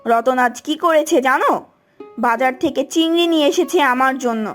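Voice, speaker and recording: A woman's voice: sits high, brisk, a bit bright, soft background music. A woman voicing a bad-tempered village aunt and housewife in a cartoon, nagging with a sarcastic edge. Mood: sulky complaining. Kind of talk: animated cartoon voice acting.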